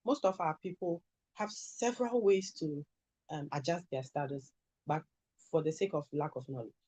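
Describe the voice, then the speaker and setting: A Ghanaian woman with a Ghanaian accent, an immigration lawyer answering interview questions in a measured, advisory way. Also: sits low, mellow, slightly rough, no echo. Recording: dull lo-fi sound.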